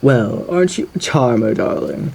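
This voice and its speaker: Suave gentleman radio demon, swooping intonation, mellow dark tone, theatrical, flirtatious, faintly menacing, audio roleplay. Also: mid-range voice, lively intonation, moderate pace, gravelly, a little hiss or hum. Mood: charming, ominous. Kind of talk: theatrical ASMR character roleplay monologue.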